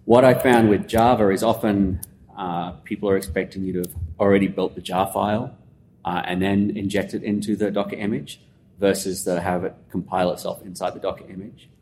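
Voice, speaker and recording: An Australian man with a low voice talks through ideas in a loose, rambling way, full of ums and restarts. He is recorded in an echoey room at a conference, with a faint rumble behind him. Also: brisk. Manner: relaxed, rambling explainer with many fillers. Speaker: guest. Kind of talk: casual conversational interview. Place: conference venue.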